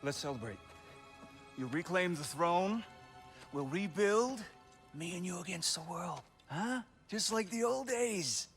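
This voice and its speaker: Game role: exiled younger-brother god, emotional and theatrical, sharp bright voice with big pitch swings. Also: male voice, animated, unhurried, soft background music, heavily acted. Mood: eager, pleading. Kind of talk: cinematic video game acting.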